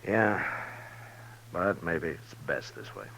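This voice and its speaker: A stern, weary American lawman of the Old West in a radio western. His voice is very low and hard-edged, and he talks in terse, grave, commanding lines. The old recording has a steady noise under it. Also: slow pace, full character voice, low-quality recording. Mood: grim, weary. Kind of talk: 1950s radio western acting.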